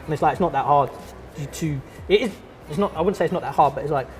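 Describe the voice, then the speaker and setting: A man, an artist and teacher chatting casually in an interview, relaxed and full of fillers and restarts. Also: on the high side, fast talker, a little hiss or hum. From London.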